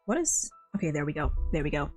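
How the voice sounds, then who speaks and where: A woman livestream host chatting casually and excitably, rambling as she goes. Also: deep voice, fast talker, faint music behind. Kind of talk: casual chat.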